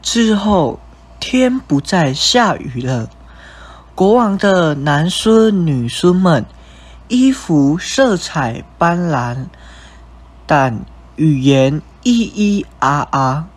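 A man's voice: a little high, big pitch swings, very slow, slightly rough. A young man with a Taiwanese Mandarin accent reading scripted narration for a radio drama. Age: young adult. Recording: music bed behind narration.

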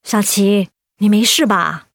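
A woman's voice: medium pitch, slow. An actress playing a chirpy, easily flustered young female office friend, nosy and concerned, in a radio drama.

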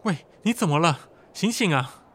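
Guy doing a playful teasing young dude, slightly high voice. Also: expressive, moderate pace, noisy background, lightly acted. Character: easygoing teasing young man.

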